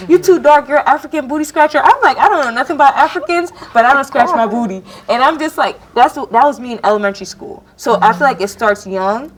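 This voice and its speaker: A young woman from Jamaica talks in a panel discussion. Her voice sits a little high. She tells her story animatedly and jumps up in pitch when she acts out what people said to her. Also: lively intonation, quick pace, hardly any pauses, slight background noise.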